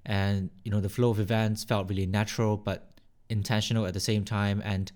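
A man's voice: on the low side, little pitch movement, brisk, a little mellow. A man co-hosting a podcast in a conversational style. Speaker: podcast co-host.

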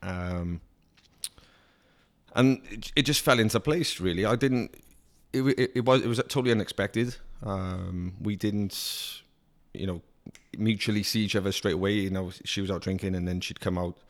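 A Welsh man with a low voice talking casually as a guest. He rambles a little, with ums and restarts as he tells his story. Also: moderate pace. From Wales. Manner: chatty, with many ums and restarts. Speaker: guest, car dealer. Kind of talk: casual chat.

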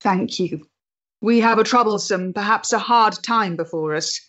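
An actress playing an earnest, composed Victorian companion with a measured, formal manner in a period stage drama. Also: female voice, mid-range voice, fairly bright tone, highs cut off. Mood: grave, grateful.

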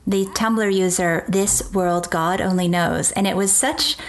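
A woman from the East Coast of the United States co-hosts a relaxed podcast. She reads listener messages and chats in a friendly, easy way. Her voice sits at an ordinary pitch. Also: a little slow. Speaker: podcast co-host. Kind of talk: casual chat.

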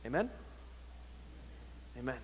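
A man's voice: takes it slowly, a little hiss or hum. A man preaching a sermon as a pastor, earnest and exhortative, posing rhetorical questions and often repeating his phrases. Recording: long hall reverb on sermon audio.

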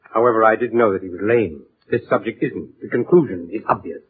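Oily, husky radio-drama schemer. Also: male voice, fairly low pitch, a little fast. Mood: cautious. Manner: precise, oily, formal politeness. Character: shady, cautious fixer, formally polite and scheming. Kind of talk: 1940s radio acting. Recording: muffled lo-fi 1940s radio recording.

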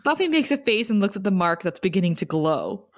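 A woman's voice: medium pitch, very animated, a little fast. A woman podcast host narrating a script at a table read and chatting casually.

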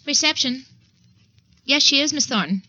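An actress playing a brisk, polite office receptionist in a 1940s radio drama. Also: female voice, sits high, lively intonation. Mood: businesslike. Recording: dull, noisy 1940s radio recording.

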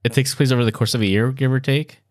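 A man's voice: mid-range voice, fairly even intonation, fast, dry recording, studio quality. A man co-hosting a podcast, chatting casually in a hesitant but playful way. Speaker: podcast co-host. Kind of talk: casual chat.

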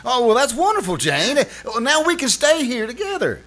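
A man's voice: very high-pitched, wildly swooping pitch, normal speed, fairly bright tone, exaggerated voice. A man playing a flustered, pleading husband in old-time radio comedy style, stammering and exasperated. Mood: hopeful. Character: flustered, pleading husband locked in a marital squabble.

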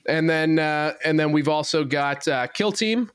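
A man co-hosts a casual chat, looping through event announcements with plenty of "um"s and restarts. His voice sits a little high and comes through smooth and clear, recorded dry and close. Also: unhurried, no echo. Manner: rambling, plugs events with filler words. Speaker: co-host. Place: studio.

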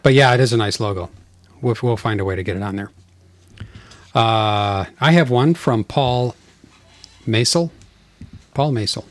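A man's voice: lowish voice, no room sound. A man hosting a casual podcast, joking and laughing often as he reads listener mail aloud. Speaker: podcast host.

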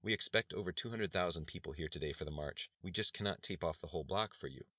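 A man voicing a calm, courteous police officer in audiobook dialogue, patient and measured. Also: low voice, dry recording, studio quality.

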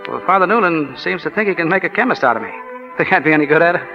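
A man's voice: a little high, animated, racing, dull audio, music in the background. An actor playing an eager, earnest young college man in 1940s radio drama style, plain-spoken and self-effacing, on a vintage broadcast recording. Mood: earnest, tender. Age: young adult. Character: eager young college football player turned chemistry teacher, earnest.

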